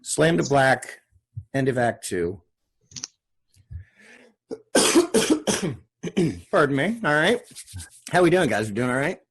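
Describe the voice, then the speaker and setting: A man narrates a screenplay at a table read in clipped, scripted phrases. His voice is mid-pitched and husky, with lively swings in intonation. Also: slow, pauses often. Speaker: stage-direction narrator and table read leader.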